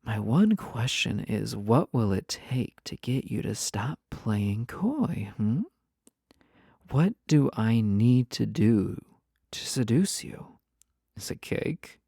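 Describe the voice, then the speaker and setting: A performer voicing a flirty, possessive yandere spouse in an intimate audio roleplay, teasing and coy, often dropping into breathy whispers. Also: medium pitch, dark tone, dry recording, studio quality, heavily acted. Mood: teasing, seductive. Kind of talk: intimate roleplay audio drama, spoken to listener.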